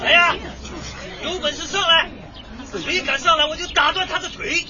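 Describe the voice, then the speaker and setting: A belligerent resident in a radio drama, high and combative. He taunts and defies everyone. The sound is muffled and distant, with outdoor noise around him. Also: fairly even intonation, moderate pace. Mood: threatening. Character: belligerent, defiant male resident refusing a demolition order. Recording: muffled, distant, noisy outdoor crowd scene.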